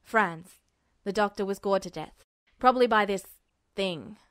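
A woman's voice: mid-range voice, a little slow, fairly bright tone, no echo, studio quality, pitched below the performer's own voice, natural voice. A woman voicing a matter-of-fact male town police officer with plain, clipped speech, in a story reading.

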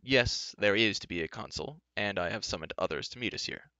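A man voicing a grave, gentle older warrior mentor in a fantasy audiobook, speaking softly and with measured solemnity. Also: fairly low pitch, expressive, clean tone, no room sound.

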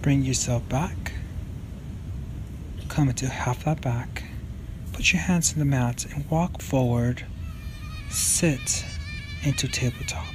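Slow, calm male yoga instructor. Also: mid-range voice, background noise. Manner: slow, calm step-by-step cues.

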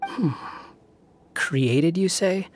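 A man's voice: sits high, very slow, background noise. An actor playing an aloof, guarded young male wanderer in an anime game, terse and composed. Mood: pensive.

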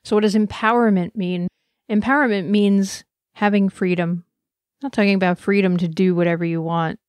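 A woman's voice: medium pitch, steady tone, normal speed, darkish tone, no room sound. A woman giving a personal, earnest conference talk, speaking with passion and reflection. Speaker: conference presenter.

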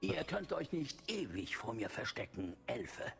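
An actor playing a cruel, gloating undead villain in a video game, menacing and theatrical with a gravelly voice. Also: male voice, medium pitch, big pitch swings, measured pace, fairly bright tone, faint music behind, heavily acted.

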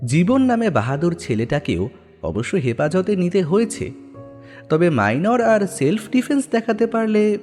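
Dramatic male storyteller, lively pitch, music underneath. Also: moderate pace. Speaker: narrator of horror stories. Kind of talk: dramatic scripted story narration.

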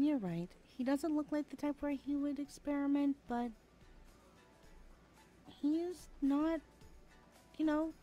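A woman's voice: high voice, warm tone, lo-fi sound. A woman playing a sassy, blunt twenty-one-year-old best friend in a casual, slangy audio roleplay, often sighing. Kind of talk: conversational ASMR roleplay acting.

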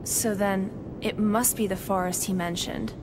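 A woman's voice: mid-range voice, fairly even intonation, measured pace, background noise, lightly acted. A woman voicing a cool, aloof young witch in video game cutscenes, terse and detached.

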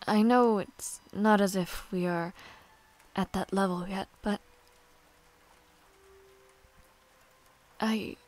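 A woman playing a young noble villainess in a romantic audio roleplay, proud yet shy and tender, speaking softly in formal, halting phrases. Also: medium pitch, close to an everyday voice. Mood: tender, hesitant.

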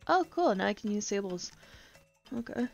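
A woman's voice: medium pitch, lively intonation, normal speed, creaky, muffled recording. A woman giving casual gameplay commentary, thinking aloud and muttering to herself, with the odd casual swear. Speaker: game streamer. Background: game music.

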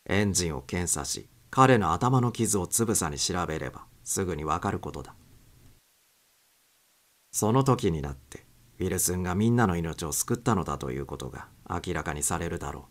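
A man reading a suspense short story aloud as a dramatic audiobook narrator. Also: sits low.

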